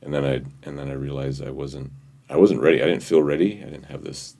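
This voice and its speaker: A man in his fifties from Hawaii, a podcast guest telling his story in an easygoing, halting way, often restarting his sentences. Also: a bass voice, fairly even intonation, vocal fry, mellow. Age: 50s. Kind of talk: casual interview chat.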